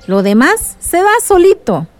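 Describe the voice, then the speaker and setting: A woman's voice: high voice, sing-song, a little slow, soft background music. A woman playing an affectionate, reflective village aunt reminiscing fondly in a radio drama. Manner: reminiscing, fond. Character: affectionate, reflective village aunt telling her niece about the past.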